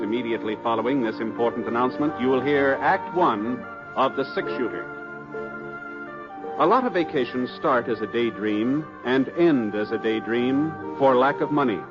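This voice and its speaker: Polished 1950s male radio announcer, American, mid-pitched, scripted and formal, over prominent music. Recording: dull vintage radio recording, loud music behind.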